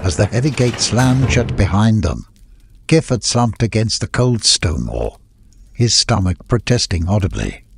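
A man reading a scripted story as an audiobook narrator, with a husky voice. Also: on the low side, sing-song, measured pace, noisy background.

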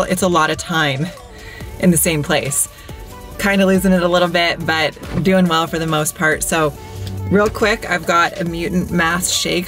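A deep-voiced woman in her thirties talks straight to her viewers in a chatty, upbeat way. She holds the camera at arm's length while she records in her car, at the office and outdoors. Soft music plays faintly behind her. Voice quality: deep morning voice. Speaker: vlogger. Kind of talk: casual vlog narration. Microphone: handheld camera at arm's length.

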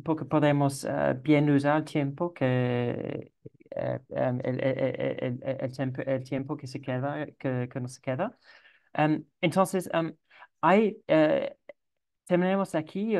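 A man's voice: fairly high pitch, vocal fry, a little mellow. Male moderator on a video call, hesitant delivery, plenty of restarts. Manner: halting, frequent restarts. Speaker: session moderator. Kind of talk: online consultation session.

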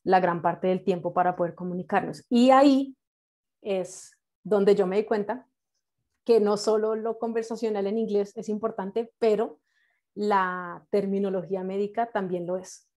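Mellow Colombian woman teaching, native English speaker. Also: medium pitch, steady tone. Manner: encouraging, halting with frequent check-in questions. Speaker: medical English teacher and nurse. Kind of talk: informal online class introduction. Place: indoors, on a video call. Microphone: video call microphone.

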